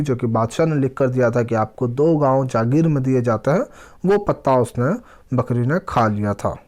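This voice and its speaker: A man teaching a children's language lesson, reading aloud and explaining, deliberately pausing and repeating words and phrases. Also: mid-range voice, darkish tone.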